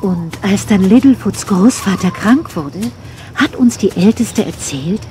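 Woman as a mellow old grandmother dinosaur. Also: mid-range voice, expressive, a little slow, a little hiss or hum, natural voice. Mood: earnest telling. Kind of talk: children's audio drama acting.

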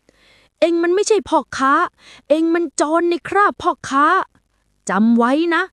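A woman's voice: very high-pitched, animated, unhurried, fairly bright tone, no echo, pitched above the performer's own voice, exaggerated voice. A woman voicing a righteous, scolding village auntie whose voice trembles with anger in a storybook tale. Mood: furious, scolding.

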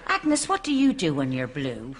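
A woman's voice: sits low, wildly swooping pitch, moderate pace, very noisy. A woman playing a chatty, earthy older Irish neighbour in a sitcom, naively frank and matter-of-fact. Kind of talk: studio sitcom acting.

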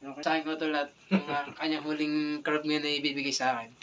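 A man's voice: fairly high pitch, level tone, quick pace, lo-fi sound, a crowd in the background. Chatty man vlogging at a kitchen sink. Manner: chatty, thankful, addresses viewers as guys. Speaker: vlogger, spearfisher. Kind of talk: casual vlog narration. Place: small tiled kitchen indoors, at night. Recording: distant phone audio with clear background noise.

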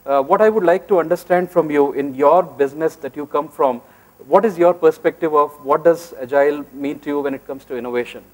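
A man's voice: a little high, a little fast. Male moderator, middle-aged, filler-heavy delivery, lapel mic in a conference hall. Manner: hesitant, many filler sounds. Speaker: panel moderator. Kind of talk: conference panel introduction. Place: large conference hall stage.

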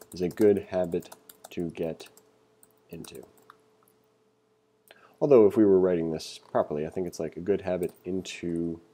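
Male coding instructor, low and very soft-edged, relaxed tone, informal tutorial read-along. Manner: casual, thinking aloud.

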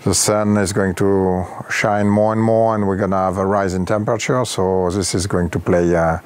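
A middle-aged man with a French accent and a very low, deep voice answers interview questions outdoors. He talks haltingly, stopping on fillers as he searches for words. A small lapel mic picks him up on a patio. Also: steady tone, darkish tone, clear, resonant tone. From France. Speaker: competitor.

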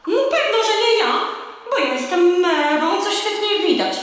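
Cheeky female seagull for a children's radio play: very high, lively, brash, strong echo. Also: moderate pace. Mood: bossy. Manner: brash, chattering, exclamatory. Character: bossy, chatty female seagull guide, cheeky and boastful. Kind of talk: children's audio drama acting.

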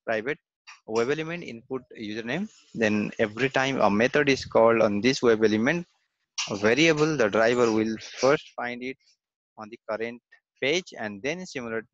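A man walks through a coding lesson over a screen recording, pausing often on fillers and saying "okay" and "fine." His voice sits at a middle pitch. The recording is dry and a little dull. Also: no echo. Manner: hesitant, many fillers and repeated "okay, fine". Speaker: software testing instructor.

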